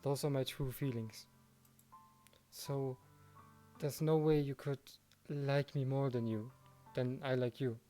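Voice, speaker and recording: A man plays a devoted teenage boy in love in a visual novel. His voice is mellow and mid-pitched, gentle and sincerely reassuring. There is soft music underneath. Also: fairly even intonation, takes it slowly, long pauses, close to an everyday voice. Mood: earnest, loving. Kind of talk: visual novel read-aloud.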